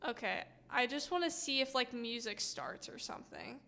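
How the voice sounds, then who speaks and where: A woman's voice: fairly high pitch, expressive, normal speed, creaky, bright, forceful tone. A woman hosting a casual let's play voice-acting session, chatting loosely while setting things up. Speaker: host.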